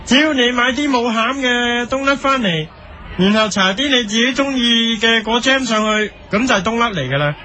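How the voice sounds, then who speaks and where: A man's voice: extremely high pitch, expressive, moderate pace, pushed and bright, slight background noise, exaggerated voice. A man playing a vague, self-important food critic giving pseudo-profound answers in a radio comedy interview. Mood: vacantly pleased.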